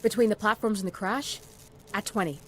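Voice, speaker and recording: Fast-talking, harried woman medic as acted. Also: mid-range voice, a bit bright, natural voice. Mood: harried, grim. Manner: brisk, curt. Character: harried, brisk female military medic, overworked and curt. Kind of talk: video game cutscene acting.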